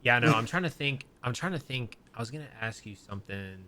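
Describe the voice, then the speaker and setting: A man's voice: mid-range voice, animated, brisk, bright, forceful tone. A young man co-hosting a podcast in casual chat, rambling with many fillers and restarts. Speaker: podcast co-host.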